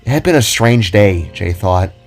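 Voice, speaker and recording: A man's voice: fairly low pitch, expressive, normal speed, a little mellow. A man reading scripted fiction as a storyteller. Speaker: storyteller, narrator. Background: music.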